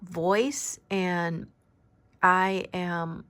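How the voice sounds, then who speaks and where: A very soft-spoken woman in her fifties, giving an unscripted oracle card reading with gentle, halting delivery and frequent pauses. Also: lowish voice, fairly even intonation, clean tone, dry recording. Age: 50s.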